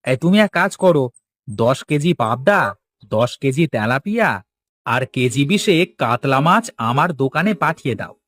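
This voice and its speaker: A man's voice: mid-range voice, animated, normal speed, a bit bright. A man playing a busy, polite village fish seller in a cartoon, with a folksy way of talking. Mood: businesslike. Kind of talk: Bengali cartoon dialogue acting.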